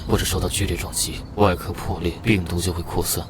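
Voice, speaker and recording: An actor playing an aloof, powerful fighter in an apocalyptic animated comic, terse, unhurried and commanding. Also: male voice, low-pitched, noisy background, natural voice. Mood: cold, resolute. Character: aloof, battle-hardened man, powerful calm fighter in apocalypse. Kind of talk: dramatic dubbed animated-comic dialogue. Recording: loud music behind the voice. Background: loud dramatic music.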